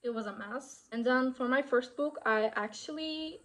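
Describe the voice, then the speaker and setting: A woman's voice: high-pitched, normal speed, fairly bright tone. A young woman from Turkey with a Turkish accent, talking casually to camera in a vlog and often correcting herself with "I would say". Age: young adult. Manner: chatty, self-correcting, frequent "I would say". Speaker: author vlogger.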